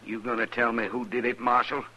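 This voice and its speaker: An actor playing a vengeful, defiant gunman in an old radio western, forceful and threatening. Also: mid-range voice, flat delivery, brisk, poor audio.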